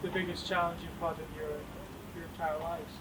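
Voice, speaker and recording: Man, high pitch, interviewer, informal questions, outdoors, very noisy street. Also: flat delivery, fairly quick. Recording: loud traffic noise outdoors.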